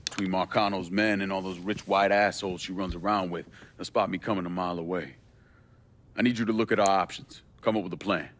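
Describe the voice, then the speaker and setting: Low-voiced, grim young gangster. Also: male voice, steady tone, fairly quick, a bit bright, slight background noise. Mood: grim, determined. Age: young adult. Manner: blunt, terse. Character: grim, vengeful young gangster and war veteran. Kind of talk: dramatic video game acting.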